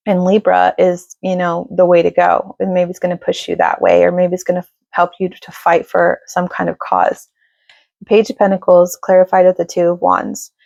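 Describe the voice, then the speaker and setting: A woman giving a casual tarot reading to her viewers, chatty and reassuring, with lots of fillers and a slightly raspy voice. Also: on the low side, darkish tone, no echo. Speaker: tarot reader.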